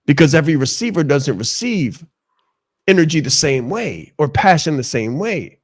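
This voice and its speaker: Higher-pitched man with a dry, emphatic delivery. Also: sing-song, normal speed, no echo. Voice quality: naturally loud, projecting voice. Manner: emphatic and passionate, repeats phrases for emphasis. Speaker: leadership coach. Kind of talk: motivational talk on a live show.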